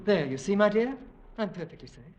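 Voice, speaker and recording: An actor playing an earnest, driven and protective husband on a hunt, in intense theatrical 1940s radio drama style. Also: male voice, high voice, expressive, a little fast, very noisy. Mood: urgent, protective. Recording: dull old radio broadcast recording.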